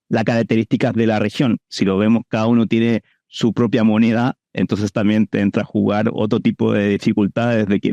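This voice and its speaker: A man's voice: fairly low pitch, dark tone, dry recording, highs cut off. A man with a Chilean accent giving conversational answers as an investor guest in an interview.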